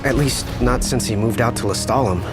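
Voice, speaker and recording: A man's voice: medium pitch, fairly quick, close to an everyday voice. An actor playing an earnest young man, chatty and deferential toward his king, in a video game cutscene. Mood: fond, explanatory. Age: young adult. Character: earnest, respectful young driver loyal to a returning king. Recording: music under studio dialogue. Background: game music.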